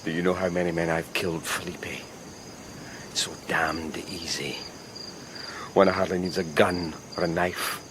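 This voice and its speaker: A courteous, wounded army officer abroad in a radio play. He talks slowly and with guarded manners over buried violence. Clear insect ambience surrounds him outdoors. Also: low voice, flat delivery, noisy background. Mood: menacing. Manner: measured, polite, guarded. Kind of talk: radio drama acting.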